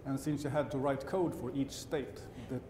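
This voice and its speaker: Swedish man giving a halting tech talk. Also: medium pitch, fairly even intonation. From Sweden. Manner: halting, self-correcting, dry asides. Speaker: conference speaker. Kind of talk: technical conference talk. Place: large conference hall. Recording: roomy hall sound with background hum.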